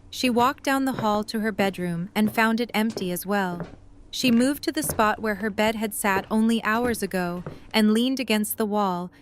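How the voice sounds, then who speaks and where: A woman narrates an audio drama story in a mid-pitched voice. Her scripted reading sits over faint noise and ambience.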